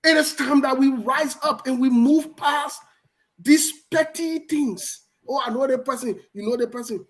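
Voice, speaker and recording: A man's voice: extremely high pitch, measured pace. Emphatic man in political talk. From Liberia. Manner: emphatic, repeats words for stress. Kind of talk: political discussion.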